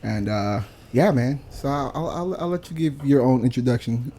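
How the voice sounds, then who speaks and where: A man's voice: mid-range voice, expressive, normal speed, mellow. A man hosting a podcast in casual chat, who grew up in Virginia, rambling with lots of fillers as he introduces a guest. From Virginia, USA. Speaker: podcast host.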